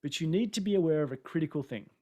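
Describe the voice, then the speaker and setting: A man's voice: fairly high pitch, expressive, fast talker, a little mellow. A man from Australia with an Australian accent, giving a chatty, self-deprecating webinar presentation over a video call from an indoor room. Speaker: conference presenter. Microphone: webcam setup on a video call.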